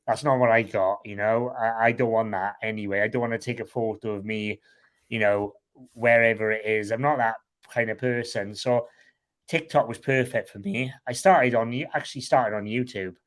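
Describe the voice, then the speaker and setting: A man's voice: fairly low pitch, steady tone, moderate pace. A man with a Welsh accent, a guest talking candidly in an interview, frank and rambling, swearing casually. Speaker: guest, online seller.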